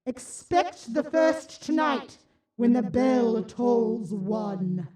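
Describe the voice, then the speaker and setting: A woman's voice: a little high, dark tone. A woman playing a solemn, mournful ghost in a radio drama, grave and portentous, giving a warning. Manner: slow, grave, portentous delivery. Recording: roomy echo, far from the mic.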